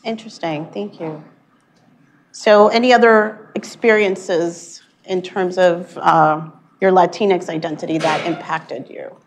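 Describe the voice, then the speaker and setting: Low-voiced woman moderating a panel. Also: fairly even intonation, a little slow, a little hiss or hum. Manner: brief, polite prompts.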